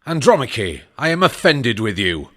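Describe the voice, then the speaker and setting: A man's voice: mid-range voice, very animated, moderate pace, fairly bright tone. A man playing a noble, resolute Trojan warrior prince in a Shakespearean audio drama, stately and commanding.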